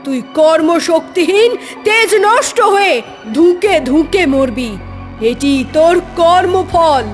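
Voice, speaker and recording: Cartoon goddess, played by a woman: high voice, slow solemn declamation, wrathful protector of devotees. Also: animated, soft background music, heavily acted. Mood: wrathful, cursing. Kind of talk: devotional horror cartoon voice acting.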